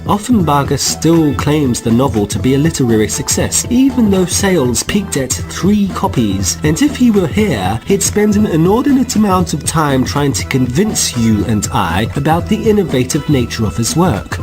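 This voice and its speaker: A man giving wry, deadpan, mock-formal comic narration for a science-fiction radio play. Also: a little high, normal speed, few pauses. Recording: music behind narration.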